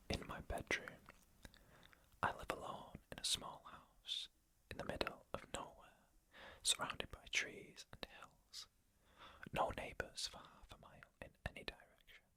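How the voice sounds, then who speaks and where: Whispered ASMR horror narrator: very bright, crisp-edged, breathy, measured phrasing, fully hushed throughout. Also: no echo.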